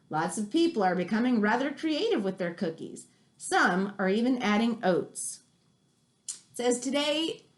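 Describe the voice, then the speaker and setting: A woman reading a nonfiction book aloud for children, chatty and exclamatory, with casual asides. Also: fairly high pitch.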